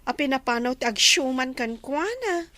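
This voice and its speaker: An actress playing a concerned, inquisitive young restaurant worker in a Filipino radio drama. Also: female voice, high voice, lively intonation, normal speed, bright, forceful tone, no echo.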